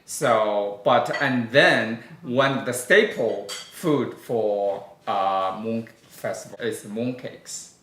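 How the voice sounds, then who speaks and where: A middle-aged man from China with a Mandarin Chinese accent, co-hosting a casual food chat, pausing often with "uh". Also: fairly low pitch, fairly bright tone, roomy sound, poor audio. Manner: halting, many "uh" pauses. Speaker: co-host.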